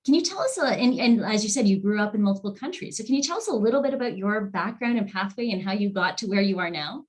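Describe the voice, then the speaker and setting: A woman's voice: mid-range voice, lively intonation, fast. A woman interviewing a guest, asking enthusiastic questions with frequent restarts. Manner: enthusiastic, warm, stumbling restarts.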